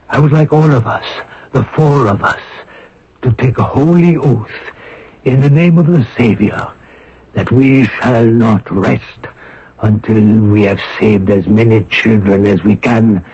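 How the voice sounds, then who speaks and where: Solemn monsignor, slightly muffled voice. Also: male voice, lowish voice, a little mellow, breathy edge, exaggerated voice. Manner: grave, measured, preacherly. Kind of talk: radio drama acting. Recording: dull old radio broadcast.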